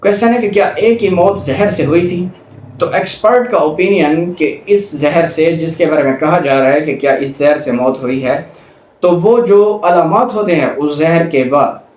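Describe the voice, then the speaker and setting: A man's voice: fairly high pitch, normal speed, a little mellow. A man with a Pakistani accent giving an explanatory law lecture in a didactic way, reading statutes aloud and rephrasing each point. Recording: echoey room.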